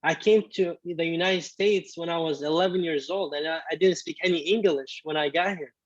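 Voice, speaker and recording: A man in his twenties, born in Iraq with Arabic as his first language, telling his story as a guest in a casual interview, halting with many restarts. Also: fairly high pitch, dry recording, highs cut off. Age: 20s. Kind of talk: casual video-call interview.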